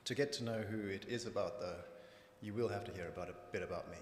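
A middle-aged man with a Zimbabwean accent, reading his personal testimony from notes at a church lectern in a measured, earnest way. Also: fairly low pitch, a little hiss or hum. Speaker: church member giving testimony. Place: church hall with raised stage. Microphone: lectern microphone. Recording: echoey hall from lectern mic.

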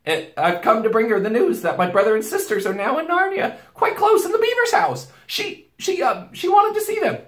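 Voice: medium pitch, very animated, fairly quick, few pauses, pitched above the performer's own voice, natural voice. A performer voicing a frightened, polite young boy from a storybook, trembling and stammering in a roomy space. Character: frightened, stammering schoolboy, polite and eager to please.

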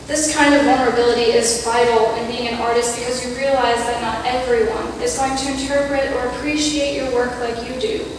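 A young woman with a fairly high voice gives a prepared reflection from a church pulpit. She sounds earnest and measured, with a few self-deprecating asides. The long reverb of a big sanctuary and a steady room hum surround her. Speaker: youth speaker. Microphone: pulpit mic, camera far off. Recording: echoey church hall from far off.

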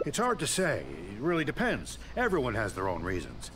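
A flustered, eager warehouse clerk. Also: male voice, medium pitch, wildly swooping pitch, brisk, noisy background. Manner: flustered, anxious, over-eager. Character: nervous male warehouse clerk, eager to please. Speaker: game character. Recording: game audio with music behind.

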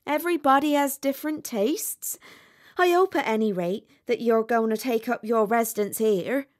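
A woman voicing a peaceable, practical female duck in a storybook, chatty and homely, with emphatic, drawn-out delivery. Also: a little high, lightly acted. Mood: placid, practical. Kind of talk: storybook character reading.

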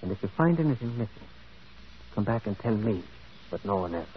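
An actor playing a gentle, shrewd priest detective in a radio drama, musing and deliberate, quietly insistent. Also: male voice, lowish voice, sing-song, slight background noise. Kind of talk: old radio drama acting. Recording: muffled, tinny vintage radio broadcast.

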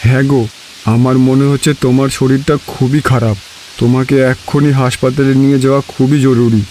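A man's voice: mid-range voice, little pitch movement, a little fast, mellow, a little hiss or hum, lightly acted. A man playing a caring, worried village farmer, husband and father in a Bengali cartoon story.

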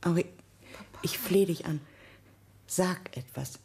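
An actress playing an anguished, pleading wife in a radio drama, with a husky, halting delivery. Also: female voice, lowish voice, darkish tone, slight background noise. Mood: shocked, pleading. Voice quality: husky, breathy, creaky. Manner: halting, imploring. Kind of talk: German radio drama acting.

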